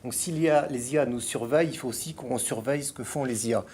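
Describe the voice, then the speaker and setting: A man's voice: medium pitch, quick pace, darkish tone, breathy edge. A middle-aged man from France, talking as a guest columnist in a radio chat, hesitant with many fillers and restarts. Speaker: guest columnist on digital topics.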